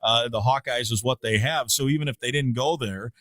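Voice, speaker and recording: A man's voice: mid-range voice, fairly quick, a bit bright, no room sound. Thoughtful male sports broadcaster. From Iowa. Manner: thoughtful, many fillers and restarts. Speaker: radio play-by-play broadcaster, guest. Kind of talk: casual sports talk.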